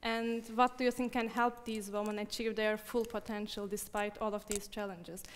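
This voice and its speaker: A woman's voice: medium pitch, level tone, normal speed, a little hiss or hum. A woman moderating a panel discussion, asking polite, formal questions and affirming answers. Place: event stage in a hall. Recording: long reverb of a hall.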